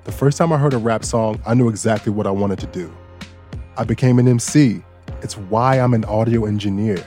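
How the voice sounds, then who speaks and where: A man hosting a news podcast, narrating a personal story in a relaxed, lightly joking way. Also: on the low side, fairly quick, faint music behind. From Bangkok, Thailand. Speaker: guest host and audio engineer.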